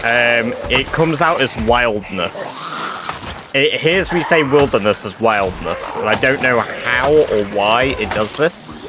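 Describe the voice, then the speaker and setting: A man's voice: mid-range voice, slow pace, noisy background. A man with an English accent chatting loosely with viewers on a live stream, full of fillers and casual swearing. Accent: English, mixed with northern English touches. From England.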